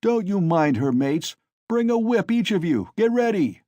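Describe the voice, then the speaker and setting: A man's voice: sits high, sing-song, unhurried, mellow, dry recording, pitched above the performer's own voice. A man voicing a cruel, drunken young male peasant who bellows and rages. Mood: drunken bellowing. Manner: bellowing, raging.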